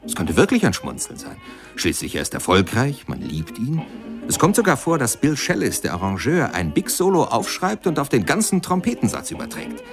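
A man's voice: medium pitch, wildly swooping pitch, normal speed. A man acting in a German radio play as a musing biographer-narrator who conversationally questions and prompts his listener. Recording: music clearly behind the voice.

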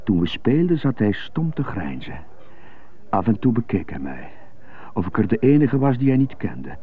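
An actor playing an indignant, brooding stuttering prisoner who mutters to himself in a radio drama monologue. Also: male voice, on the low side, very animated, normal speed. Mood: bitter, brooding. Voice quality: stutter on some words. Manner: muttering, self-questioning monologue in short bursts. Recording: muffled narrow phone-like sound, music behind.

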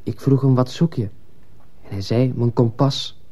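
An earnest schoolboy in a radio drama, confiding in his teacher. The voice is middling in pitch and very dark and muted in tone. He sounds respectful and fearful, and a little hesitant. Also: measured pace. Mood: uneasy, confiding.

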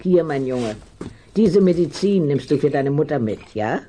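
A woman's voice: low-pitched, animated, normal speed, mellow, a little hiss or hum. An actress playing a motherly, sensible household woman who fusses over children in a radio play. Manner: kindly scolding, fussing over children.

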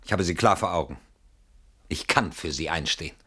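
An actor playing an irritable, defensive husband in a German radio play, indignant and dismissive under suspicion. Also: male voice, low-pitched, moderate pace, bright, forceful tone, husky, full character voice.

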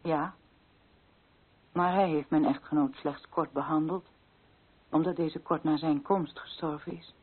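Husky, grieving colonial widow. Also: female voice, low-pitched, steady tone, a little slow, long pauses. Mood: anxious, confiding. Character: grieving, fearful, watchful widow of a colonial official. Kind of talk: radio play acting. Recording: thin, phone-like old radio recording.

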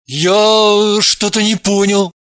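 A man playing an easygoing, teasing, sometimes puzzled man in an audiobook, with an excitable manner. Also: high-pitched, slow pace, dry recording, clean studio sound. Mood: amused puzzlement. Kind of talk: audiobook character acting.

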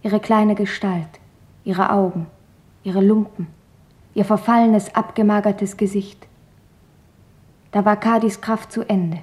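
A woman's voice: mid-range voice, little pitch movement, slow, pauses often, a little mellow, natural voice. An actress playing a thoughtful, earnest teenage girl diarist, reflective and questioning, in a German radio drama. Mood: anguished, sorrowful. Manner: questioning, reflective reading of her own writing.

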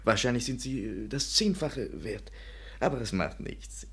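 A vain young male painter in a vintage radio play. His voice is husky and mid-pitched, and he speaks in grandiose, flowery phrases with theatrical, self-important charm. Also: lively intonation, a little slow, heavily acted. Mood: smug, pompous. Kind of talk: 1950s German radio play acting.

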